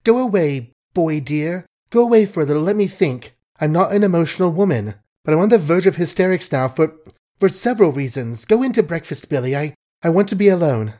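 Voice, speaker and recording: A man voicing a fond, flustered young woman who speaks in halting, pleading bursts in an audiobook. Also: fairly high pitch, animated, mellow, pitched above the performer's own voice, natural voice. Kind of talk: audiobook character voice.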